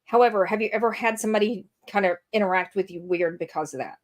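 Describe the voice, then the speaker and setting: A woman's voice: medium pitch, fast. A woman co-hosting a casual chat, asking a guest questions in a rambling way. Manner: rambling, lots of fillers and restarts. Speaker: podcast co-host.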